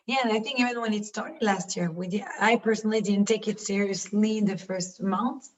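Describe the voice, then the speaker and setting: Fast-talking French woman on a call. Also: mid-range voice, steady tone. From France. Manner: fast, halting, trailing off. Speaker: class participant. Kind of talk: casual chat on a video call. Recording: dull lo-fi call audio.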